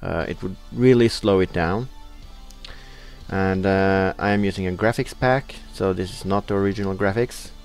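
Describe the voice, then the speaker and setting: Young Norwegian man, low voice, halting casual game commentary, faint music bed. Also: creaky, darkish tone. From Norway. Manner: hesitant, many uh fillers, reading in-game text aloud. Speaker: let's play host.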